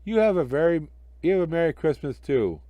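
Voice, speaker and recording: A man reading a children's story aloud as an expressive storyteller. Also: on the high side, fairly quick, dry recording, narrow, tinny audio.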